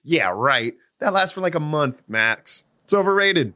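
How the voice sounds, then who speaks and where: Brash, bright-voiced cocky vampire. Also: male voice, on the high side, lively intonation, a little slow. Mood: brash, dismissive. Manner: flippant, sarcastic, slangy. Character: cocky, brash male vampire mentor, casually callous. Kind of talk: audio drama acting.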